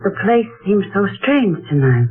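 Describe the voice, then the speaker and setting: A woman's voice: mid-range voice, sing-song, a little slow, phone-quality sound. An actress playing a nervous, sensitive young woman hosting friends, in 1940s radio acting, on a scratchy old radio recording with music. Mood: uneasy.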